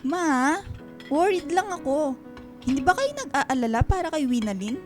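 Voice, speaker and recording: A woman's voice: sits high, very animated, normal speed, music in the background. A woman playing a worried, scolding elder sister in a Filipino radio drama, pleading and reproachful.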